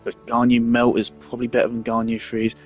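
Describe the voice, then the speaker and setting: A man's voice: medium pitch, completely flat delivery, a little fast. Blunt young man commentating over faint music. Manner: blunt, sweary, hesitant phrasing. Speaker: game streamer. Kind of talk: casual opinionated game commentary.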